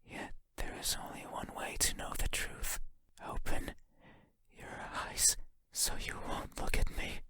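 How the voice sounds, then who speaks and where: Eerie whispering shadow creature. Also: male voice. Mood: eerie, fascinated. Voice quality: breathy, unvoiced whisper. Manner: slow, halting, questioning, softly insistent. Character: eerie wandering shadow creature, lonely, curious, longing to be seen. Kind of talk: intimate whispered horror game monologue.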